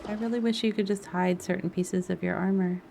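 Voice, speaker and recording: A woman's voice: fairly low pitch, steady tone, a little fast, vocal fry, mellow. A woman live streaming a game, chatting casually and thinking aloud as she plays. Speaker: live streamer, gaming content creator. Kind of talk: casual livestream gameplay chat. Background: music playing faintly behind the voice.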